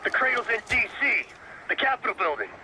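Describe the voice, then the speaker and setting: An actor playing a hard-bitten field operative in an action game, terse and pressured. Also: male voice, very high-pitched, big pitch swings, a little fast, low-quality recording. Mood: urgent, tense. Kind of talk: cinematic action game acting. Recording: loud gunfire and artillery noise.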